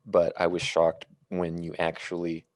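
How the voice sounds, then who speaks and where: A man chatting casually about films on a podcast in a measured, reflective way. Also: low-pitched, completely flat delivery, no room sound. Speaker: podcast co-host. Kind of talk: casual film discussion.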